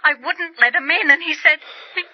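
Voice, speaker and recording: Overwrought female lab assistant, played by an actress, high pitch, halting melodramatic radio delivery, dull lo-fi recording. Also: no echo, close to an everyday voice. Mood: distressed. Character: distraught woman lab assistant, fearful, turning bitter. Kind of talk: melodramatic radio drama acting. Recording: dull, lo-fi audio drama recording.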